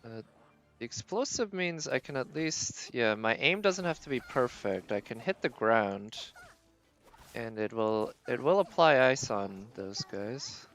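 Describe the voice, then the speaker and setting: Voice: medium pitch, lively intonation, a little slow, a bit bright. A game livestream host giving casual live commentary, thinking aloud in a tentative, musing way.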